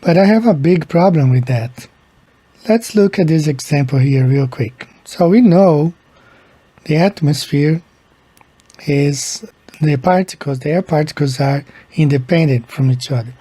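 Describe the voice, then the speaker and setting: Slow, husky-voiced Brazilian-born man. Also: a little high, dark tone, no echo. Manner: slow, halting, repeats words. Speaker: video creator. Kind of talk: casual explainer monologue.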